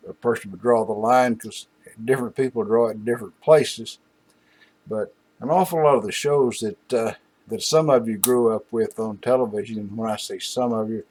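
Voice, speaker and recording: A man hosting a hobby channel, talking casually to his viewers in a folksy, unhurried and halting way. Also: fairly low pitch, a little mellow. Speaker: host of a hobby video channel. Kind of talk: casual rambling talk to viewers.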